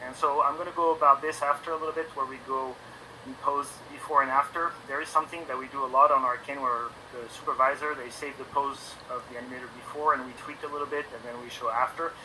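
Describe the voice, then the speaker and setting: A man in his forties from France, speaking English with a French accent and as a non-native speaker, talking about his work in a relaxed, halting way full of ums and restarts. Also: mid-range voice, slight background noise, low-quality recording. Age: 40s. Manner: hesitant, many ums and restarts. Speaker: animation guest on a stream. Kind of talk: casual interview talk.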